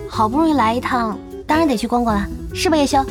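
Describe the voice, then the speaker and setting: A woman acts a gentle young gamer in an audio drama. Her voice is high and agreeable in manner. Music plays clearly underneath. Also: quick pace, lightly acted. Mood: sweet, coaxing.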